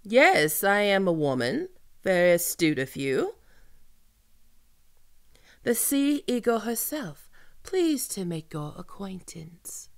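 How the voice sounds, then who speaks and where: A woman playing a commanding, mocking and seductive female pirate captain in an audio roleplay, with theatrical, teasing, drawn-out menace. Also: medium pitch, sing-song, gravelly, heavily acted. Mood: proud, mocking. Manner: theatrical, teasing, drawn-out menacing delivery with archaic turns of phrase. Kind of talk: ASMR roleplay acting.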